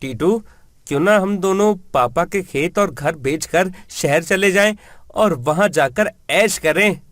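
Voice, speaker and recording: A man's voice: high voice, a little slow, fairly bright tone, no echo, clean studio sound, pitched above the performer's own voice. A man voicing a spoiled, greedy grown son, brash and dismissive, in a storybook tale. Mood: scheming.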